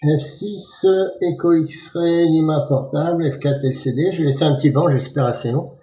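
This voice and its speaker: A man working as an amateur radio operator, speaking casually and spelling out call signs in a measured way. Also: fairly high pitch, mellow, roomy sound, dull audio. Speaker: amateur radio operator filming for his channel.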